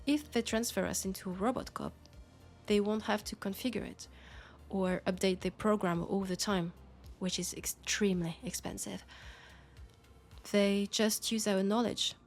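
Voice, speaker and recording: A woman with a French accent playing a grave, caring futuristic policewoman in an intimate sci-fi audio roleplay, speaking in measured short phrases. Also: medium pitch, creaky, darkish tone.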